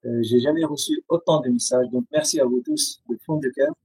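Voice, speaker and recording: A man from Syria with a Syrian accent, Arabic his first language, speaking haltingly with many pauses and restarts as an interview guest on a video call. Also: fairly high pitch, wildly swooping pitch, creaky, a little mellow, slightly rough, dry recording, low-quality recording.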